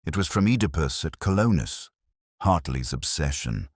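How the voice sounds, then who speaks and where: A man narrating a scripted first-person detective story in a measured, literary, brooding way. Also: a bass voice, sing-song, no room sound, studio quality.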